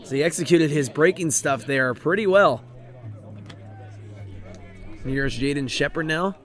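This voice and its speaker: A hoarse male baseball announcer calls play by play at an outdoor ballpark. His voice is raspy and worn, sitting a bit high for a man. He talks steadily through stats and rises with the plays. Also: measured pace. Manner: steady, informative, rises with the action. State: hoarse, voice drained. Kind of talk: live baseball play-by-play commentary.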